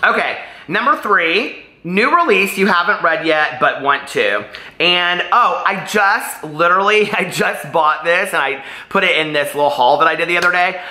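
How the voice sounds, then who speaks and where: A man's voice: high-pitched, animated, moderate pace, sharp tone, reverberant. A man talking casually in a book vlog, chatty, gushing and emphatic, wandering off on tangents. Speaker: book vlogger.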